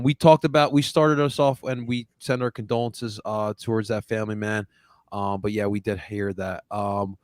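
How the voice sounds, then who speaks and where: Fired-up podcast host guy, kinda high voice, dry close mic, talks fast and loose. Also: no room sound. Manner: excitable, hypes up fans. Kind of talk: casual podcast chat.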